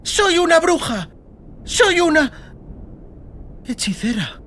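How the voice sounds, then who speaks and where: A woman's voice: very high voice, very animated, slow pace, a bit bright, slight background noise, full character voice. A woman playing a defiant, exhausted but determined young sorceress girl in a dramatised fantasy audiobook. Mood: defiant fury.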